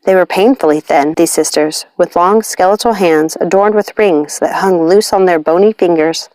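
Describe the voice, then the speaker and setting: A woman's voice: on the low side, normal speed, darkish tone, no echo, rough recording. A woman narrating a scripted story as an audiobook reader.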